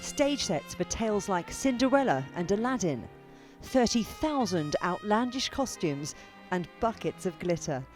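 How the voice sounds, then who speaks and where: Female news reporter, scripted feature narration, animated pitch, playful storytelling, music underneath. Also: measured pace.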